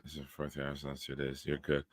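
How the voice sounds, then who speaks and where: A man in his forties hosting a live-stream show, reading viewer comments aloud and bantering bluntly with a smooth, deep voice. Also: completely flat delivery, a little fast, no echo, clean studio sound. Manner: banters with chat, blunt and profane.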